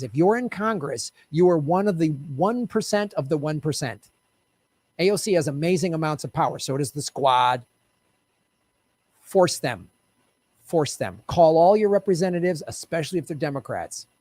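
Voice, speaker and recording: High, animated ranting man. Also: dry recording. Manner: loud, profane ranting; talks straight to viewers, urging them to act. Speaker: host of a political commentary show. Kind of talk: fiery political commentary.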